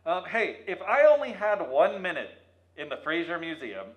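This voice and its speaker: A man's voice: a little high, very animated, moderate pace. A man hosting a playful interview in a jokey manner, calling out through a megaphone in an echoey room. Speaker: host, museum staff.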